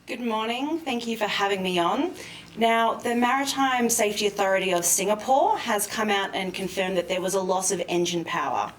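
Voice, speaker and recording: A woman with a mid-pitched voice, heard in a roomy space a little away from the mic. She explains things in a measured, careful way, as an expert guest on live news, with faint noise behind her. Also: recorded from a distance. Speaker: expert guest, maritime lawyer.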